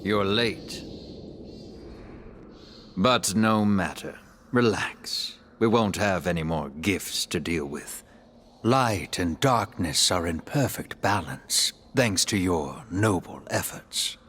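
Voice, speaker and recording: A man playing an ancient, sorrowful dark elf king in a video game, sly and bitter, with a theatrical, teasing, measured delivery. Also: deep voice, animated, breathy edge, heavily acted. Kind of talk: video game cutscene acting.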